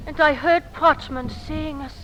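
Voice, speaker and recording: An actress playing a solemn, grieving sister in 1940s radio drama style, speaking deliberately and dramatically on an old, noisy recording. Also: female voice, sits high, steady tone, a bit bright. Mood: accusing. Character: grieving sister accusing a supposed witch, solemn.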